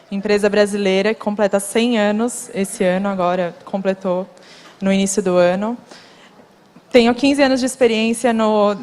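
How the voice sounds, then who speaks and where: A woman's voice: medium pitch, a little mellow. A woman introducing herself on a conference panel in an earnest, grateful way. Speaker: shipping professional panelist. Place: conference hall. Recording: long hall reverb.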